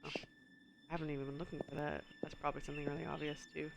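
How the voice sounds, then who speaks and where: A woman's voice: low-pitched, flat delivery, rapid-fire, gravelly, background noise. A woman with a stuffy nose streaming a puzzle game, thinking aloud and reading in-game text in a casual way. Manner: muses aloud, reads in-game text, chuckles often. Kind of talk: casual gameplay commentary.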